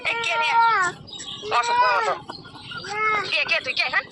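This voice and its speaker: Woman on a noisy phone call, high voice, suspicious, keeps asking questions. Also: moderate pace. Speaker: prank call target. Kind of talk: casual phone conversation.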